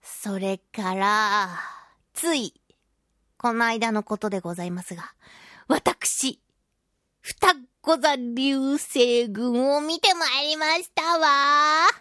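A woman with a high-pitched voice hosts a casual solo radio talk. She tells stories in an animated way, sprinkling in sound-effect words and mock-polite turns of phrase. The sound is close and dry, with very little room on it. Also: takes it slowly, dry recording. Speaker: radio show host.

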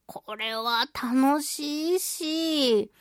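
A woman's voice: high-pitched, extremely slow, no echo. A woman chatting casually as a radio host and reading listener mail, playful, giggly and self-teasing, in a close studio recording. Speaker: radio show host and voice actress.

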